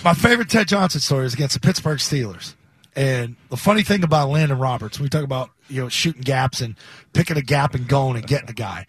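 A man hosting a sports talk radio show, telling lively stories and mimicking the people in them. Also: mid-range voice, quick pace, husky, no room sound. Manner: storytelling, animated impressions of others. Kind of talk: casual sports radio banter. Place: radio studio at a stadium.

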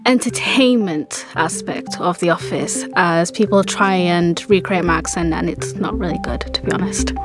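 A woman from Burnley speaks frankly, with a Lancashire accent. Loud music plays under her voice. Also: lowish voice, moderate pace, hardly any pauses. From Burnley, England. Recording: voice over music.

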